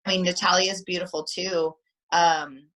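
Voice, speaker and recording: A woman athlete guest in a casual interview over a video call, animated and repeating herself when excited. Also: lowish voice, a little slow.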